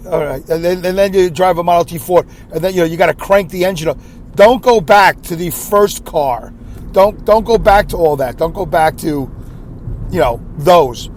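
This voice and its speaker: A man hosting his own podcast with a scratchy voice, rambling in a self-deprecating way and clearing his throat often. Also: sits high, moderate pace, a little mellow. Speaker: podcast host. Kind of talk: solo rambling podcast monologue. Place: inside a car.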